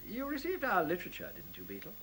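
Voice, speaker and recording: A man's voice: high-pitched, wildly swooping pitch, quick pace, fairly bright tone, a little hiss or hum, exaggerated voice. A man playing a polite, hesitant, officious director of a secretive computer plant in a radio play. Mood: apologetic, firm.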